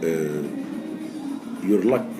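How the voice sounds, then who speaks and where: A man in his sixties, raised in Egypt, answering interview questions casually in halting English full of fillers and restarts. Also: a bass voice, animated. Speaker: poker player guest. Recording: music playing behind.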